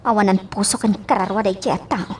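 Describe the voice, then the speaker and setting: A woman's voice: mid-range voice, fairly even intonation, fast talker, darkish tone, recorded from a distance, a little hiss or hum, close to an everyday voice. A woman playing a heartbroken young lady in a radio drama, bitter and wistful, with emotive delivery. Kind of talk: Ilocano radio drama acting.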